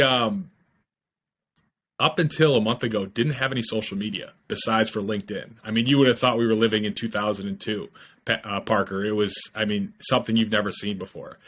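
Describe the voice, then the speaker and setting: A man's voice: on the low side, fairly bright tone. A young man in his twenties, a startup founder, explaining eagerly in a casual podcast interview over a video call with a headset, with many fillers. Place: home room, video call.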